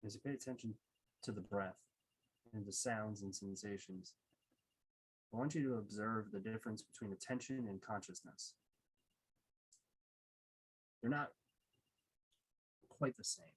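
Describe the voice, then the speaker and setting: Husky, lower-pitched male meditation guide. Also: poor audio. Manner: unhurried, pausing between short phrases.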